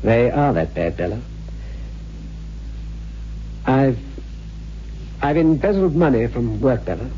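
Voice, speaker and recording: An actor playing a worried, testy and defeated husband in a 1960s radio horror drama. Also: male voice, medium pitch, sing-song, slow, long pauses. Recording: muffled old radio recording, faint hum.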